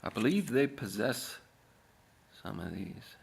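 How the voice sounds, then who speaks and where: A man reading aloud as an author, in a deadpan, measured manner with deliberate pauses. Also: fairly low pitch, creaky, a little hiss or hum. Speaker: author reading own book.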